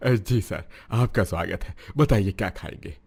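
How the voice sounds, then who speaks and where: An actor voicing a poor, humble roadside cook and worried father in a cartoon story, polite and soft-spoken. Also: lowish voice, moderate pace, gravelly, breathy edge. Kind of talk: cartoon voice acting.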